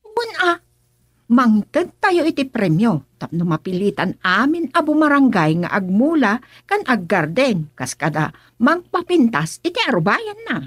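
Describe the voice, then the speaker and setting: An actress playing an outspoken, lively village council member in a rural comedy radio drama. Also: female voice, on the high side, a little slow, breathy edge, no echo, studio quality, heavily acted. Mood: enthusiastic.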